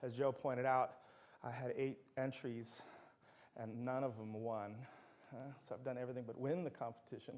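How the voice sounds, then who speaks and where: A man's voice: mid-range voice, level tone, normal speed, a little mellow. A middle-aged man, a native Dutch speaker, giving an enthusiastic university lecture full of anecdotes. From Netherlands.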